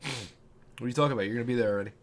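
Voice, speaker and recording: A man's voice: medium pitch, very fast. A man hosting a podcast in casual, conversational chat. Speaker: podcast host. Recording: clear background room noise.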